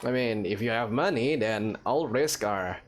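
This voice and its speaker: A male gamer chats through a let's play in a rambling, jokey way. His pitch moves around a lot at a middling height, with game music underneath. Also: lively intonation, unhurried. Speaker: gaming host. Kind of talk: casual let's play commentary.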